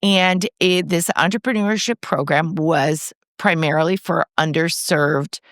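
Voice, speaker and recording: A woman, the mother half of a podcast duo, chatting casually and telling stories with lively restarts and laughs. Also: measured pace, no room sound, clean studio sound. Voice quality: low voice. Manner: animated storytelling, frequent restarts and laughs. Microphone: headphones on, studio-style setup.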